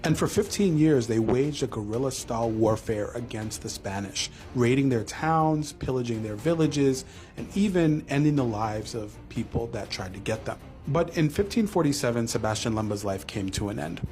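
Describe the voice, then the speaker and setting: A man with a mid-range voice narrating a documentary script. He tells the story at a measured pace. Music plays softly behind him. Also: medium pitch, animated.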